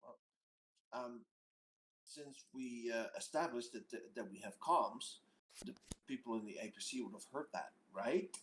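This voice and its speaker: A man's voice: medium pitch, measured pace, a bit bright, poor audio. A man chatting casually as a player in a tabletop game.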